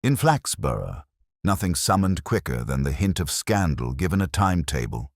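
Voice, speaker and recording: Very deep-voiced male story narrator. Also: very animated, normal speed, dry recording, clean studio sound. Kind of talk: scripted audio mystery story narration.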